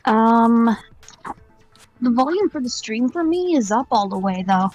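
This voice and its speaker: Woman, casual game-stream chat, highish pitch, animated, faint music. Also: a little slow, no echo.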